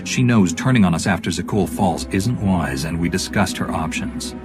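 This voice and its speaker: An actor playing a calm, resolute hero commander in a science fiction video game, measured and earnest. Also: male voice, low voice, natural voice. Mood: businesslike. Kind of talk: cinematic video game acting. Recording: game music behind the voice.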